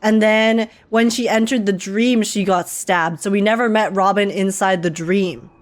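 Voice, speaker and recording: A woman's voice: medium pitch, steady tone, unhurried. A woman streamer giving informal, slangy and exclamatory live reactions to a game. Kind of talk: casual live reaction commentary. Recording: game music behind the voice.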